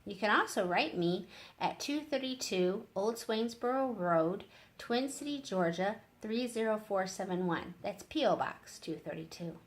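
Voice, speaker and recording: A woman reading a story aloud haltingly, with casual asides. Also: mid-range voice, animated. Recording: echoey room.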